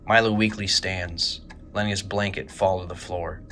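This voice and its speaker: A man narrating a scripted horror audio drama in a measured, grave manner. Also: deep voice, steady tone, pushed and bright, slight background noise.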